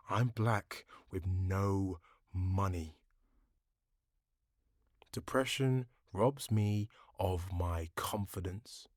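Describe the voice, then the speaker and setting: A man giving a dramatic poetry reading, deliberate, with pauses between short phrases. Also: on the low side, dry recording, studio quality. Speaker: poetry reader.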